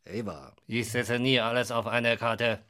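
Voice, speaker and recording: An actor playing a crafty, gruff Chinese restaurant boss in a radio drama, curt with orders and fond of crude jokes. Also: male voice, mid-range voice, steady tone, measured pace, pushed and bright. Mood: curt, impatient.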